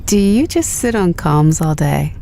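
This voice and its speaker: Young female spacefarer: slow, lowish voice, wry and casual, companionable captain, sci-fi audio drama with music beneath. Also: big pitch swings, vocal fry, warm tone, exaggerated voice. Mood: teasing.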